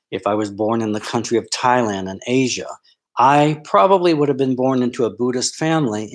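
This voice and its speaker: A middle-aged man from Florida, a sociology professor, telling a live online audience his story in a candid, rambling way full of ums and restarts. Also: medium pitch. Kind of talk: live-streamed personal talk.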